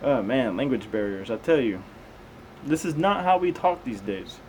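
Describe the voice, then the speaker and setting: A man's voice: medium pitch, expressive, normal speed, darkish tone, slight background noise, poor audio. A man reading scripture aloud, stumbling over unfamiliar words and dropping in casual, conversational comments.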